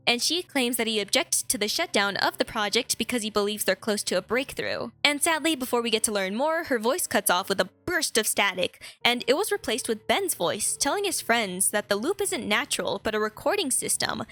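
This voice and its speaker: A woman's voice: a little high, fairly bright tone. A woman hosting a video, giving a scripted but casual story recap with chatty, conversational commentary. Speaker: video host.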